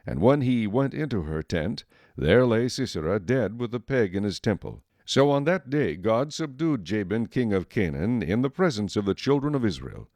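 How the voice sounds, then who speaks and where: A man's voice: lowish voice, sing-song, moderate pace, a little mellow, no echo. A man narrating a dramatized Bible story as scripted, solemn storytelling.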